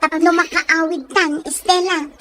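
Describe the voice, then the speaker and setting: A woman's voice: extremely high pitch, level tone, a little slow, fairly bright tone, a little hiss or hum. A woman with an Ilocano Filipino accent playing an outspoken, teasing village best friend in an audio drama, blunt and prodding. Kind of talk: audio comedy-drama acting.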